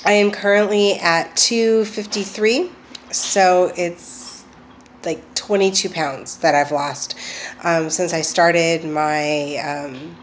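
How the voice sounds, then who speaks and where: Chatty woman, slightly low voice. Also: fairly even intonation, slow, slight background noise. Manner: chatty, hesitant, many ums and restarts. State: recovering from a cold. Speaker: vlogger. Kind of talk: casual personal video diary. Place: at home.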